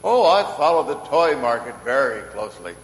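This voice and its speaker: A man's voice: medium pitch, big pitch swings, fairly quick. A man playing a kindly, earnest old Santa Claus in an old-time radio play. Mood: earnest, reassuring. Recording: echoey hall, faint noise.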